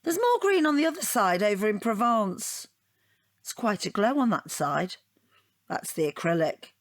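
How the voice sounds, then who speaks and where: Wounded, digressive wife for audio drama, female actor, mid-pitched, dry and deadpan. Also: normal speed, lightly acted. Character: wounded, rambling wife dissecting her unwanted portrait gift. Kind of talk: audio drama monologue and dialogue.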